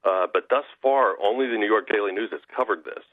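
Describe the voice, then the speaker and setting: An American man giving political commentary as a radio guest over the telephone, halting and often restarting his sentences. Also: lowish voice. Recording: narrow tinny phone line.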